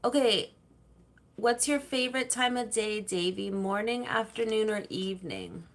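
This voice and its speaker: A woman's voice: medium pitch, very animated, unhurried. A woman hosting a casual livestream, chatting with viewers and reading their comments, rambling and laughing easily. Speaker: livestream host.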